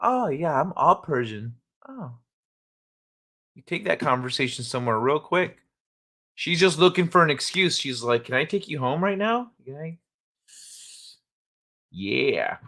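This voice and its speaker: A man hosts a casual live stream in a slightly higher than usual voice. He rambles and jokes loosely, swears freely and laughs often. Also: big pitch swings, unhurried, long pauses, sharp tone. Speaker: livestream host. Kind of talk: casual live-chat talk.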